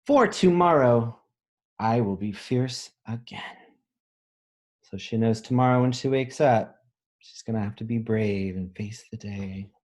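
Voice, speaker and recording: A man reads a children's picture book in a slow, deliberate way. He puts expression into the lines, then steps out of the text to explain things simply, as a teacher does with young pupils. His voice sits at an ordinary male pitch. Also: darkish tone. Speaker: elementary school teacher reading aloud.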